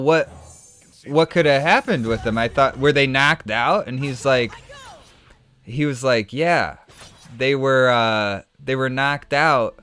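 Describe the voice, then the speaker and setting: Male streamer, smooth clear voice, chatty storyteller with faint music behind. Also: mid-range voice. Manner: animated, rambling, many restarts and "like". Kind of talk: casual storytelling while gaming.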